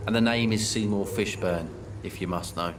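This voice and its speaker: A man's voice: on the low side, moderate pace, over music, lightly acted. An actor playing a surly, suspicious working-class man in a video game, curt and grumbling. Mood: surly, dismissive. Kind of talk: video game dialogue acting.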